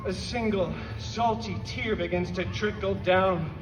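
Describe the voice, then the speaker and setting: Male performer as a high-voiced irreverent mercenary host, mocking and animated; echoey outdoor live sound with noise. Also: measured pace. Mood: wisecracking. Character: wisecracking male mercenary storyteller, cheeky and irreverent. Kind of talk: live stage comedy storytelling. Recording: echoey, distant live crowd recording.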